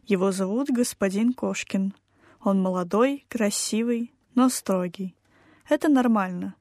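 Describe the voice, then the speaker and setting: A woman reading a textbook language lesson aloud, slowly and with careful, clear enunciation. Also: medium pitch, darkish tone, no room sound, studio quality. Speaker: language course reader.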